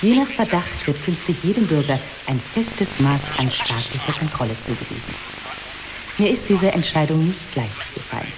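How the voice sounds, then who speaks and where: Low-voiced, solemn female head of state. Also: lively intonation, loud music behind the voice, rough recording. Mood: solemn, stern. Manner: measured, declamatory. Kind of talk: formal political address in radio satire.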